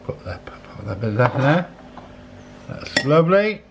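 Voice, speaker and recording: A man in his sixties giving a casual, unhurried cooking demonstration in a home kitchen, often repeating his phrases as he goes. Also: mid-range voice, sing-song, gravelly. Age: 60s. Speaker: home cook, presenter. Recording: clattering dishes, clicks, kitchen noise.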